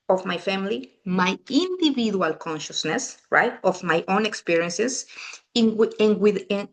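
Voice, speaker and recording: A middle-aged woman with a Peruvian Spanish accent, talking to a small group in a casual, chatty way. She often breaks off and restarts her sentences. Her pitch sits in an ordinary middle range. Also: fairly bright tone. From Peru. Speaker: family constellations facilitator.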